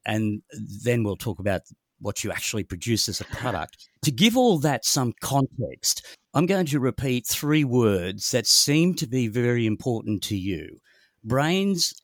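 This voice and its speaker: A man's voice: medium pitch, sing-song, a little slow, no echo. A man, asking prepared questions as an interviewer.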